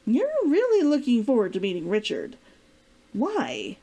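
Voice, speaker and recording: A woman's voice: medium pitch, wildly swooping pitch, unhurried, creaky, warm tone, heavily acted. A woman reading aloud as a proud, stubborn woman fighter in a storybook, defensive and curt. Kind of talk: storybook character dialogue read aloud.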